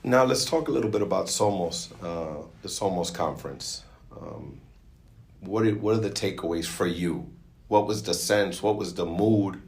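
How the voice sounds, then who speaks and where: A man's voice: deep voice, normal speed, vocal fry, darkish tone. A man hosting a podcast interview, asking conversational questions that he repeats and rephrases. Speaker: podcast host.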